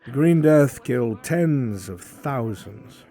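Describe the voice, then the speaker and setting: A man playing a solemn, philosophical imperial in a fantasy roleplay, speaking in a measured, brooding way with deliberate pauses. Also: mid-range voice, lively intonation, mellow. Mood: grave, brooding. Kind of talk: improvised fantasy roleplay acting. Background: background music.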